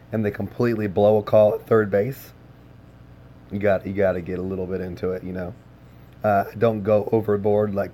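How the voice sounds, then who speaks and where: A hoarse man chats easily with viewers on his livestream, his voice sitting low and dark. He talks in a relaxed, unhurried way, scattering filler words between his thoughts. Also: slight background noise. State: hoarse, lost voice. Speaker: game streamer. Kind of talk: casual livestream chat with viewers.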